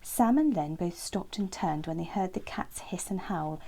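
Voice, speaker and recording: Breathy, expressive woman reading a bedtime story. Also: fairly low pitch, moderate pace.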